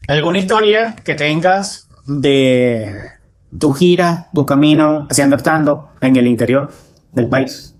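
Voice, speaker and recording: A man with a Venezuelan accent hosting an interview, asking questions in a measured conversational style. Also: fairly high pitch, expressive, no echo. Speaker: interview host.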